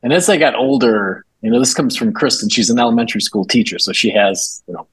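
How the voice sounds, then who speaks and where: A man guesting on a podcast, telling travel stories in a relaxed, conversational way. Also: medium pitch, fast, husky, dry recording, poor audio. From Minnesota, USA. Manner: relaxed storytelling with fillers. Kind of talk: casual conversational interview.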